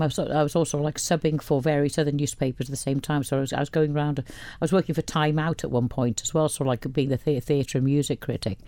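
Older woman from Wales, radio guest, mellow and dry-sounding, chatty and rambling. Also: extremely low pitch, no room sound. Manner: chatty, rambling with many restarts. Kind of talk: casual interview chat.

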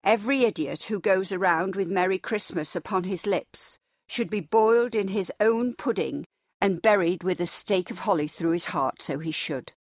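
A woman's voice: lowish voice, animated, moderate pace, few pauses, no room sound. A stern, grumpy old miser from a storybook, acted out by a woman reader. He is indignant and scornful, with a tight-fisted temper. The sound is dry and close.

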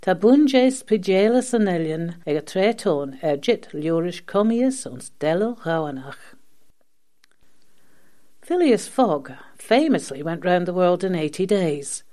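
A woman's voice: fairly low pitch, expressive, unhurried, warm tone. A woman presenting a scripted radio magazine programme.

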